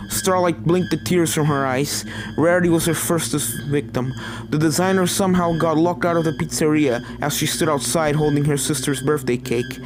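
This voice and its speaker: A man's voice: a little high, low-quality recording. A man reading a horror story aloud as a dramatic narrator.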